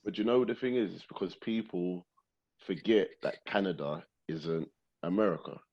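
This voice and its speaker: Low-voiced British podcast host, lo-fi. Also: male voice. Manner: relaxed, agreeable, quick affirmations. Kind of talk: casual interview chat.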